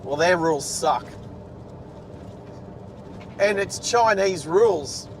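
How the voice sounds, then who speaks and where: A man's voice: animated, slow pace. An Australian man chatting casually and ramblingly as he hosts a livestream from inside a moving car. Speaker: livestream host, driver. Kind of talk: casual chat. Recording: car rumble and road noise.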